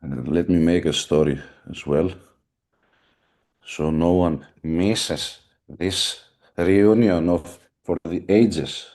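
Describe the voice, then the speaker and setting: Deep, dark-voiced Greek-accented man. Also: steady tone, slow pace. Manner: blunt, profane, candid. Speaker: podcast host. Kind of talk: casual live chat.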